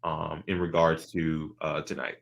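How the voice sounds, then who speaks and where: A man's voice: a bass voice, completely flat delivery, measured pace, creaky, fairly bright tone. A man from North Carolina, hosting a casual interview in a thoughtful way, with many restarts. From North Carolina, USA. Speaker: host.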